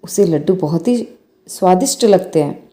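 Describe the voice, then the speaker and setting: A woman's voice: lowish voice, animated, moderate pace, mellow. A woman storyteller reading a scripted bedtime moral story. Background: faint music.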